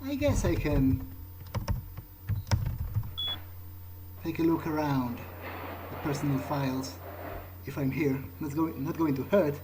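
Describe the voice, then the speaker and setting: A man's voice: a little high, lively intonation, normal speed, background noise, natural voice. A man who is not a native English speaker, voicing a vampire game character who thinks aloud to himself in a hesitant, muttering way. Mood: hesitant, curious. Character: vampire character musing to himself while sneaking around. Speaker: gamer playing in character.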